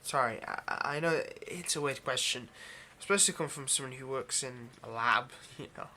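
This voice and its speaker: A man's voice: medium pitch, normal speed, sharp tone, a little hiss or hum. A man playing a nervous, obsessive vampire lab technician in an intimate ASMR roleplay, with hesitant, stammering, overly polite delivery. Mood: secretive, earnest.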